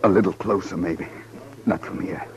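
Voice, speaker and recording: A man's voice: low-pitched, normal speed, a crowd in the background. An American man playing a bold, insistent frontier townsman in an old-time radio western, urgent and plain-spoken. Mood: tense, cautious.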